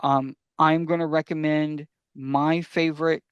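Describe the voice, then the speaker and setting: A man hosting a casual fan podcast, talking enthusiastically and sometimes haltingly as he gives recommendations. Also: on the high side, little pitch movement, clear, resonant tone, no room sound, dull audio. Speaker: podcast host.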